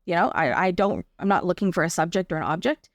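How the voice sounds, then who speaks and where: A Canadian woman with a slightly low voice, recorded close and dry. She talks as an interview guest, telling about her work in a chatty, explanatory way and often checking that the listener follows. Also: animated, fast talker, no echo. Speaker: interview guest, artist and arts accessibility advocate. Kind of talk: conversational interview storytelling.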